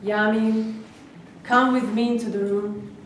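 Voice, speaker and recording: A woman's voice: on the high side, fairly even intonation, takes it slowly. Woman as a protective granddaughter, echoey hall. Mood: earnest, resigned. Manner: insistent, defensive, emotional. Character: protective, stubborn, anguished adult granddaughter. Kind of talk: amateur staged reading of a play. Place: live staged reading in a reverberant room. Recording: very echoey room, distant mic, faint noise.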